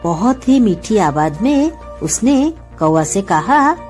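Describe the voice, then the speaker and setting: Slow, animated woman storyteller. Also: mid-range voice, faint music behind. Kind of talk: scripted children's story narration.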